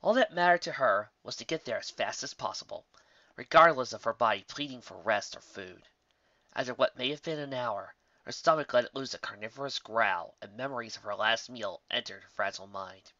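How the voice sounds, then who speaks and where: A man's voice: medium pitch, fairly quick, sharp tone, no room sound, lo-fi sound. A man reading a scripted story aloud as its narrator. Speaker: narrator reading fan fiction.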